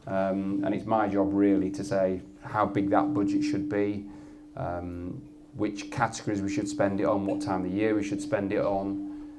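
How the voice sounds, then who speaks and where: A man with a Yorkshire accent and a loud voice, speaking as a guest on a live industry panel and often restarting his sentences as he thinks. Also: low-pitched, level tone, normal speed. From Yorkshire, England. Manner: thoughtful, with frequent restarts and repeated words. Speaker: head of media effectiveness, guest. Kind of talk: panel discussion at an industry event.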